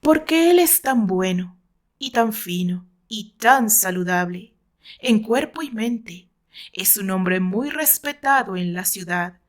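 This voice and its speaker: A woman with a Mexican accent reading a short story aloud as expressive, dramatic scripted narration. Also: medium pitch.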